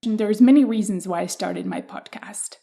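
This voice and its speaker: A woman hosting a podcast, reading a measured, reflective introduction and then welcoming her guest. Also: mid-range voice, gravelly, dark tone. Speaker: podcast host.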